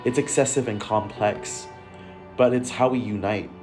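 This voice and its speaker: A man's voice: lowish voice, steady tone, normal speed, over music. A young man from Malaysia narrating a documentary in an earnest, introspective voice. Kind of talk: reflective scripted narration.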